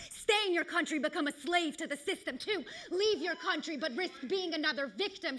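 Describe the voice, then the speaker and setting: A woman performing a dramatic spoken-word monologue, with poetic, deliberate phrasing and a theatrical delivery that moves between hushed and forceful. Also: high-pitched. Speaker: actor and writer performing a self-written monologue.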